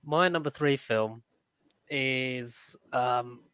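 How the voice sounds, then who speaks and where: A man speaks as a panelist on a casual podcast. His voice is medium in pitch and recorded very dry, with no room sound. He builds his thoughts in hesitant little pieces. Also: extremely slow, fairly bright tone, clean tone, no room sound. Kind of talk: casual chat.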